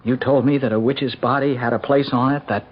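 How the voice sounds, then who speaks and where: An actor playing an anxious, hesitant forty-year-old husband who stammers, in an old-time radio drama. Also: male voice, mid-range voice, fairly even intonation, brisk, close to an everyday voice. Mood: agitated, confiding. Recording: dull, faintly noisy old radio recording.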